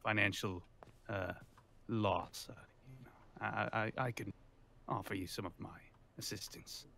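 A man playing a polite, pompous loan shark's son in an improvised game roleplay, halting and formal. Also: lowish voice, steady tone, creaky, sharp tone, slight background noise.

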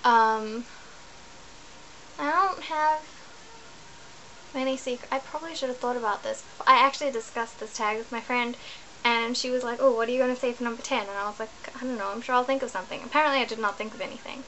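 A woman's voice: a little high, fairly even intonation, brisk, sharp tone, slight background noise, poor audio. Chatty young woman on a laptop webcam. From New Zealand. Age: young adult. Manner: chatty, rambling, self-correcting. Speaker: vlogger. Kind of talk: casual chat to camera. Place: small bedroom indoors.

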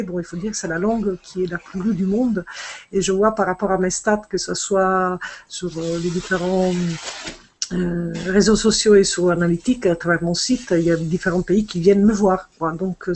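A woman's voice: medium pitch, a little hiss or hum. A middle-aged woman whose first language is Italian, a fashion designer chatting on a video call from home, hesitant with many fillers and restarts. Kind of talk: casual video call chat. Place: home room, indoors. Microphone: earphone headset on video call.

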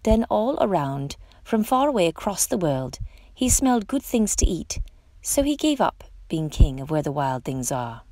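A woman reading a children's picture book aloud in an expressive, dramatic storytelling manner. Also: fairly low pitch, no room sound, low-quality recording. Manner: dramatic, theatrical storytelling with drawn-out phrases. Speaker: storytime reader.

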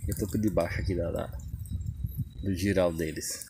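A man's voice: deep voice, fairly even intonation, moderate pace. A man, casually and enthusiastically showing his sunny backyard garden on a phone camera while walking. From Northeast Brazil. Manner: enthusiastic, repeats "que maravilha" and "olha". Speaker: vlogger. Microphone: phone camera held while walking. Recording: outdoor phone audio with clear background noise.

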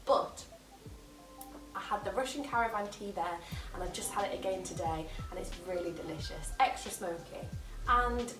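A woman's voice: medium pitch, normal speed, music in the background, poor audio. A young woman from England, gushing and enthusiastic as she narrates a vlog and shows off her haul from home. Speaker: vlogger. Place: living room at home, sitting on floor.

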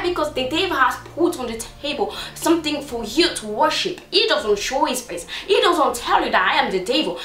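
A young woman from Congo preaches straight to camera with insistent, repeated questions. Her voice sits high and rings around a small room, a little back from the mic. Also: fairly bright tone, reverberant, recorded from a distance. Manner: insistent, repetitive, rhetorical questions. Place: small room indoors, seated on sofa.

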